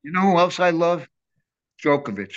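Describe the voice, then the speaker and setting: A man's voice: on the high side, expressive, moderate pace. An older man chatting as a guest on a video call from his home, rambling and enthusiastic. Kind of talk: casual interview chat. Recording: dull lo-fi video call audio.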